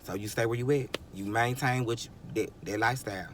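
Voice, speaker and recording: A man's voice: medium pitch, a little fast, noisy background. A man in his twenties giving a rambling, emphatic motivational monologue, often chuckling and restarting sentences. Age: 20s. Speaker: vlogger.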